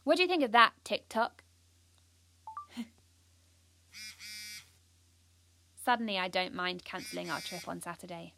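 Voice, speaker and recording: A woman's voice: on the low side, expressive, normal speed, creaky, pushed and bright, a little hiss or hum, heavily acted. A young British woman playing an earnest, ambitious, anxious schoolgirl who confides her story in an audio drama. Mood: fired up. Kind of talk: first-person audio drama narration.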